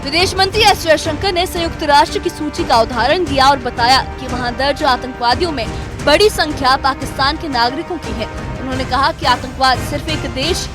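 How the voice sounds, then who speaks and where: A woman's voice: high-pitched, fairly quick. A woman reading a scripted news report as a reporter. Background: background music bed.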